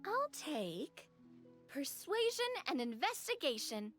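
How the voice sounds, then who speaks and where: A woman's voice: sits high, sing-song, slow, a bit bright, faint music behind, exaggerated voice. A woman voicing a playful, confident young woman in a video game, breezy and teasing. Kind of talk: video game character acting.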